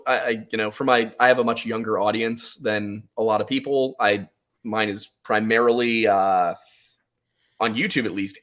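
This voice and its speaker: A man's voice: medium pitch, a bit bright. Talkative American man in his twenties. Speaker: podcast guest. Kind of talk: casual conversational storytelling.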